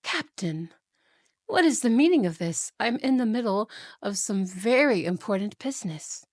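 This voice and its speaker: A woman's voice: mid-range voice, lively intonation, moderate pace, no room sound, clean studio sound, natural voice. A woman playing a haughty, cruel evil queen and wicked stepmother, imperious, scolding and condescending, in a fantasy audio roleplay. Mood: annoyed, haughty. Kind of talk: fantasy ASMR roleplay acting.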